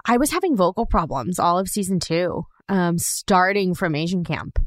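Chatty woman with a low voice. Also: lively intonation, normal speed, dry recording, clean studio sound. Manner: chatty, laughs often, many fillers. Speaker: podcast co-host. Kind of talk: casual rewatch podcast chat.

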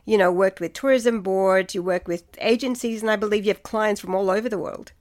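A woman hosting a podcast, reading a scripted introduction and asking interview questions in a measured way. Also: medium pitch, steady tone. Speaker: podcast host.

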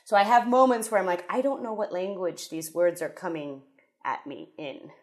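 Lady with a low voice chatting on a podcast, wanders and restarts mid-story. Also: moderate pace. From United States. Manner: rambling, self-correcting storyteller. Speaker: podcast guest. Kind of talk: casual podcast chat.

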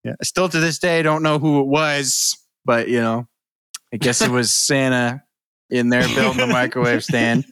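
A man co-hosting a casual podcast, telling a story with lots of fillers and laughter, sometimes dropping to a hushed voice. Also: medium pitch, fairly even intonation, normal speed, smooth, dry recording. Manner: laughs often, rambling with many fillers, drops to hushed tones. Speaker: podcast co-host.

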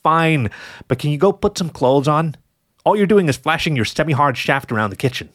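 A man's voice: on the high side, brisk, no room sound, pitched above the performer's own voice. Man as a weary, sarcastic jackal, very dry sound. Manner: weary, deadpan questioning. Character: tired, exasperated male jackal roommate, dry and sarcastic.

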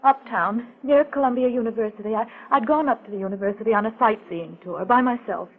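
A woman's voice: on the high side, brisk, breathy edge. An actress playing a frightened but composed woman, telling her story earnestly and hesitantly in a 1940s radio mystery. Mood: uneasy, recounting. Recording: muffled, tinny 1940s radio recording.

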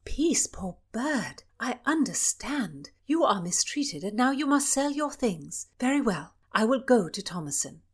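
A woman voicing a gentle, soft-spoken young court lady, often hushed. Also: a little high, big pitch swings, moderate pace, few pauses, breathy edge, voice pushed up.

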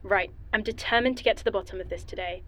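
A woman's voice: mid-range voice, quick pace, noisy background, close to an everyday voice. An actress playing a curious, wry young woman scientist in an audio drama, speaking casually and sardonically. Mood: grumbling.

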